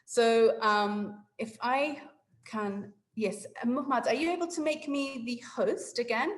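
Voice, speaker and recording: A woman's voice: on the high side, measured pace, reverberant. English woman hosting a video call. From England. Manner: apologetic, explains step by step with many fillers. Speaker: webinar facilitator. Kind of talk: hosting an online meeting.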